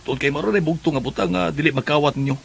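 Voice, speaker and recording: A man's voice: a little high, rapid-fire, vocal fry, a little hiss or hum. A man with a Filipino accent acting an approving, hospitable middle-aged father in a radio drama.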